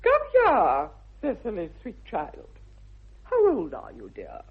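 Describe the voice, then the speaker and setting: An actress playing a haughty older aristocratic English aunt, grand and emphatic, in a 1960s radio comedy. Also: female voice, lowish voice, wildly swooping pitch, slow pace, husky, exaggerated voice. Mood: lofty, imperious. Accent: upper-class English. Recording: muffled, tinny vintage radio recording.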